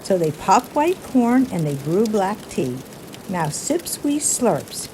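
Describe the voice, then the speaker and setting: Female children's storybook reader: unhurried, highly varied intonation, playful emphasis, clear background noise. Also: sing-song, dark tone, low-quality recording. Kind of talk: expressive children's picture-book read-aloud.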